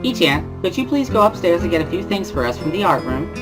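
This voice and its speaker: Man putting on a high, bubbly teenage schoolgirl. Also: very animated, fast, music in the background. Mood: chirpy. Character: bubbly, teasing, cheerful teenage schoolgirl with loud laugh. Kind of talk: dramatic reading of a visual novel.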